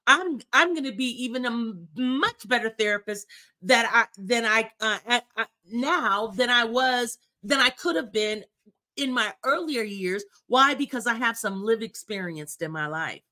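A woman's voice: fairly high pitch, a little slow, sharp tone. A woman in her fifties, a therapist, speaking earnestly and encouragingly in a faith talk conversation, with frequent restarts. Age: 50s. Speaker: therapist, co-host.

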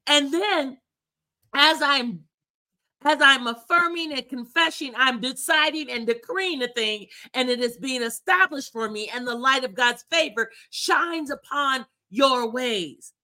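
A woman's voice: sits high, measured pace, sharp tone. A woman co-hosting a faith talk show, speaking earnestly and declaring affirmations with emphasis.

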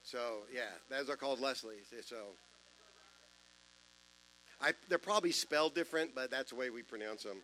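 A man in his fifties with a deep voice, preaching a sermon in a church in a conversational way, with self-deprecating jokes and asides. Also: moderate pace, long pauses, sharp tone, slight background noise, poor audio. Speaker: pastor. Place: church sanctuary.

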